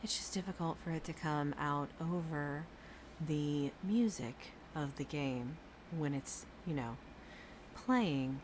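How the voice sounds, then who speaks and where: A woman streamer talks very softly, almost in a whisper, in a relaxed aside to her viewers. Her voice sits very low. A faint hiss of background noise sits under her. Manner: very quiet, soft, near-whispered speech. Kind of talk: casual chat to viewers.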